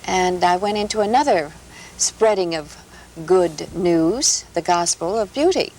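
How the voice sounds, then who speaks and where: A woman's voice: fairly low pitch, expressive, a little slow, a little mellow, rough recording. A woman from Minnesota, a guest on a 1970s television interview show, telling stories about her past in a gracious, anecdotal way. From Minnesota, USA. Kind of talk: relaxed talk show interview. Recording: 1970s television broadcast, light hiss.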